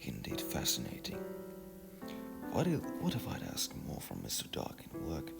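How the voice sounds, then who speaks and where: A man voicing a pensive young village worker in a storybook, musing quietly and haltingly to himself. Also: very deep voice, huge pitch swings, creaky, loud music behind the voice, exaggerated voice. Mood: musing, intrigued.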